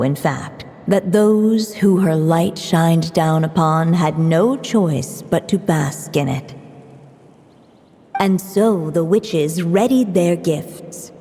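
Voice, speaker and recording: A woman narrating a fairy-tale story in a video game as a gentle witch, soft and slow. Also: fairly low pitch, heavily acted. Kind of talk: scripted narration. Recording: long reverb with music.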